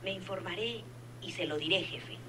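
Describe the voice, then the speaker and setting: An actress in a radio drama playing a dutiful head nurse informant, precise, businesslike and deferential, on a noisy old recording. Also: female voice, fairly low pitch, normal speed, lightly acted. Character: dutiful female head nurse secretly informing a crime boss. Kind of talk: radionovela acting.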